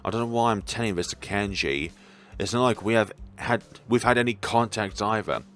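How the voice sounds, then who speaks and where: A man's voice: on the low side, normal speed, fairly bright tone. A man reading a visual novel aloud and adding casual, wry commentary as host of a playthrough. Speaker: gaming video host.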